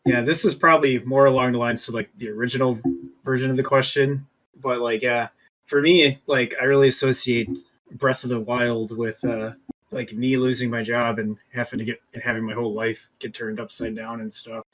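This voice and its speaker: Mid-pitched man reminiscing in casual chat. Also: level tone, moderate pace, fairly bright tone, low-quality recording. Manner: informal, says "like" constantly. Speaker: podcast co-host.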